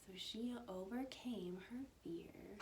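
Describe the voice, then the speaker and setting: A woman reading a children's storybook aloud like a teacher, animated and dramatic, with hushed asides to her young listeners. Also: medium pitch, slow pace. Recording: roomy echo with faint background noise.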